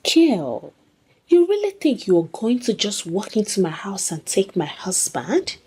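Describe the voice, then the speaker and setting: A woman's voice: mid-range voice, big pitch swings, unhurried, mellow, breathy edge, exaggerated voice. A woman voicing a betrayed wife in a folktale, wounded, incredulous and defiant. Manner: incredulous, challenging.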